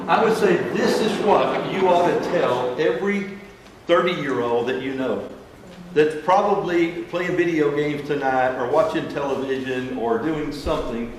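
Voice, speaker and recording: A man's voice: fairly high pitch, unhurried. Folksy man teaching in an echoey hall. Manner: folksy, asks the audience questions, emphatic. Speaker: financial advisor teaching a seminar. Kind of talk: classroom presentation. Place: large echoey room. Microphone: room mic from a distance. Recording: echoey room, distant mic, faint hiss.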